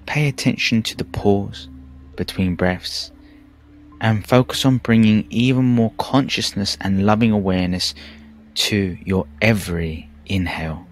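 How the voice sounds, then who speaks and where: A man narrating a guided meditation in a calm, measured, soothing way with pauses. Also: fairly low pitch. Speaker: meditation teacher. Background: background music.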